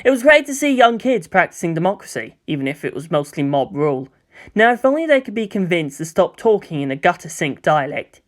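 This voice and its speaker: A narrator reading a scripted story in an expressive way, with dramatic emphasis. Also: mid-range voice, fairly quick. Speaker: audiobook narrator. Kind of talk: scripted narration of fan fiction.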